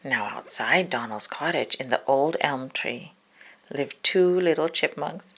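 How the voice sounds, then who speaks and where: A woman's voice: extremely low pitch, animated, a little slow. A woman narrating a children's story as a read-aloud. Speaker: storybook reader.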